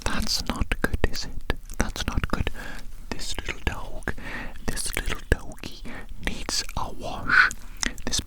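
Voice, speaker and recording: A woman voicing a polite, curious alien doctor posing as a human in an ASMR roleplay, whispering breathily right up close and slowly repeating phrases with odd formality. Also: natural voice. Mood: mildly fussing, soothing. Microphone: very close to the microphone.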